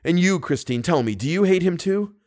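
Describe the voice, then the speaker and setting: A man's voice: medium pitch, animated, normal speed, natural voice. A man reading an audiobook, playing a jealous young male lover who is bitter and impetuous. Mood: jealous, hurt. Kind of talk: audiobook character dialogue.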